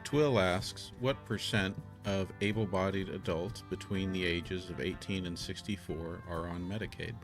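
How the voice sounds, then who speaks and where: A man from the United States hosting a live stream, reading viewer questions in a casual, halting way with many ums and restarts. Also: deep voice, fairly even intonation. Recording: guitar music audible under voice.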